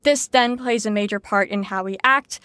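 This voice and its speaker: An actress playing a brisk, sarcastic teacher in an audio drama, lecturing in an articulate, wry way. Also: female voice, medium pitch, bright, forceful tone, no echo, clean studio sound, lightly acted. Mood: wry, impatient. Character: brisk, sarcastic female teacher explaining psychology theories. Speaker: lecturer leading a group lesson.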